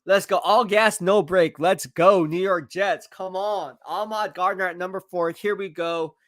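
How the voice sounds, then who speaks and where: A man live-streaming football draft commentary, excitable and hyping each pick, his voice going hoarse. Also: high-pitched, unhurried, no room sound, clean studio sound. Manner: excitable, hypes picks, reads chat aloud. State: losing his voice.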